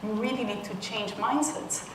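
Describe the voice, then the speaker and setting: A woman from Peru speaks to a conference audience. She is thoughtful and often restarts her sentences. She is heard from a distance in a roomy hall, with clear background noise. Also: medium pitch, moderate pace. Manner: thoughtful, with frequent restarts and soft laughs. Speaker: panel speaker. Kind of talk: conference talk. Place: conference room.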